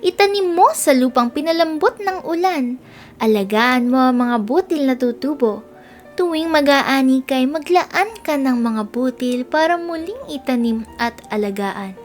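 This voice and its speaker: A Filipino-accented woman voicing cheerful, gentle field grasses that instruct in a melodious, earnest storybook manner. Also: high-pitched, animated, a little slow, soft background music, voice pushed up. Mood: cheerful, guiding.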